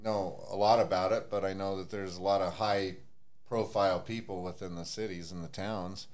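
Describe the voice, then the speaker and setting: Soft-spoken man with a low voice. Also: fairly even intonation, moderate pace. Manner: soft-spoken, swearing, laid-back. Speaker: podcast guest, militia member. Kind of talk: casual chat.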